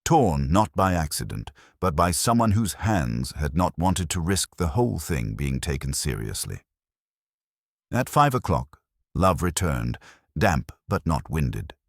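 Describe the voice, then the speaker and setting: A man's voice: rumbling low, sing-song, a little slow, dry recording, clean studio sound. A man reading scripted narration of a detective story as an audiobook narrator.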